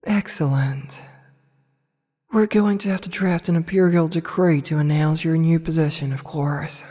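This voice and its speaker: Woman acting as evil empress, slow n sultry, soft dark breathy voice, bossy and teasing, asmr roleplay in a big echoey hall. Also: low voice, steady tone. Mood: possessive, commanding. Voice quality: breathy, often slipping into whisper. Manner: slow, teasing, imperious, deliberate. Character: seductive, commanding evil empress, adult woman, possessive. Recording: long reverberant hall echo.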